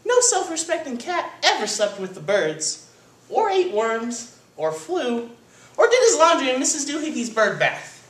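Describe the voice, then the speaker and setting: A reader as a stern mama cat, high voice, scolding, emphatic, storybook, roomy echo. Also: sing-song, unhurried, fairly bright tone, recorded from a distance, exaggerated voice. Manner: emphatic lecturing. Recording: roomy echo, background noise.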